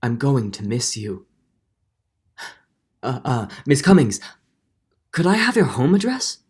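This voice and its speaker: A man's voice: medium pitch, sing-song, slow, a little mellow, breathy edge, full character voice. A man playing a middle-aged judge, earnest and guilt-ridden, in a dramatic 1940s radio drama style with hesitant turns. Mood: hesitant, yearning. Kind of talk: 1940s radio drama acting with first-person narration.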